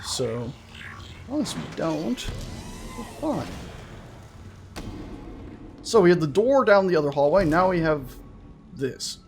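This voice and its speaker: A man giving casual, chatty let's-play commentary on a horror game, full of jokey asides and an excitable, jumpy manner. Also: on the high side, slow pace, vocal fry, long pauses, slight background noise. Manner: jokey, chatty asides to himself and the game's characters; jumpy, excitable, prone to swearing shouts. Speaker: gaming video host.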